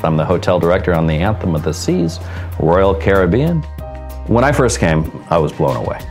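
Man, from Seattle, low voice, explanatory, documentary interview, faint music. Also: expressive, moderate pace, darkish tone. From Seattle, United States. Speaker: hotel director on a cruise ship.

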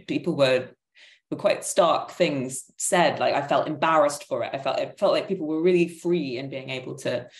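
A woman in her thirties talking thoughtfully and tentatively in an echoey room on a video call. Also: rumbling low. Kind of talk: thoughtful conversational discussion. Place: indoor room at home.

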